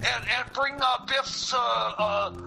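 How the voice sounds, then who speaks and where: A man's voice: very high voice, steady tone, slow, vocal fry, full character voice, low-quality recording. A man playing a rambling, pushy jailbird friend in improvised radio comedy, stuttering and repeating himself. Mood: insistent.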